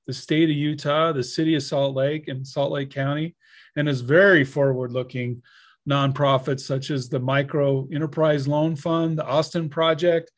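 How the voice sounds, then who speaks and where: A man hosting a podcast, introducing a guest and asking friendly, jokey questions. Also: on the high side. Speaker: podcast host. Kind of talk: podcast interview.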